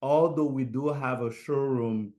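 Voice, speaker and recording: A middle-aged man from Haiti talks slowly and unhurriedly in a relaxed interview. His speech halts often, full of fillers and restarts as he feels his way through answers. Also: mid-range voice. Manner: halting, many fillers and restarts. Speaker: guest, fashion designer.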